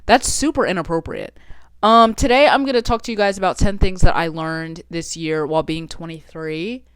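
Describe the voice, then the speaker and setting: Woman, early twenties, chatty, curses freely, low-ish smooth voice, dry close sound. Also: normal speed, dry recording. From Texas. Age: 20s. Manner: chatty, frequent swearing, self-joking. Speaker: vlogger. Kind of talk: casual solo podcast chat. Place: her bedroom.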